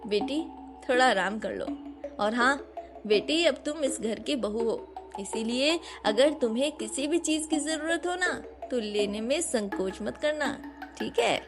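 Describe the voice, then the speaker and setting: A woman voicing a groom's affectionate middle-aged mother in a cartoon story, motherly and gently commanding. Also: on the high side, animated, unhurried, over music. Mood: caring.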